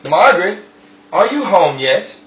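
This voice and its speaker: A man's voice: high-pitched, big pitch swings, measured pace. A man playing a befuddled, well-meaning 1930s husband in a radio comedy drama, hesitant and mild. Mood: concerned. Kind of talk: old-time radio comedy-drama acting. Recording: dull, roomy, distant, faintly noisy.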